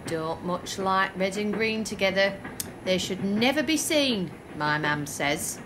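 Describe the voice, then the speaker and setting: A woman's voice: medium pitch, expressive, slow pace, noisy background. An actress playing a naive, inquisitive young woman in a radio play, blunt in manner. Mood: puzzled. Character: naive questioning young woman, bored by football. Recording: train ambience behind.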